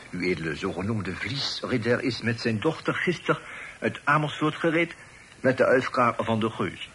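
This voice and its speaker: An actor playing a deferential, fussy informant in a radio play, affectedly formal and hesitant, dropping French phrases. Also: male voice, medium pitch, a bit bright. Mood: obliging. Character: deferential, fussy male police informant peppering speech with French. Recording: faintly noisy old radio recording.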